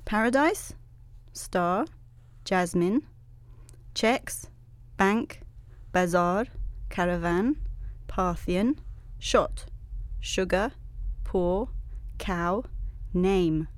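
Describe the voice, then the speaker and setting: A woman's voice: on the low side, very slow, no room sound. Female radio host, talkative and curious, close dry studio mic. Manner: chatty, curious, lists words in a steady run. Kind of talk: casual radio chat.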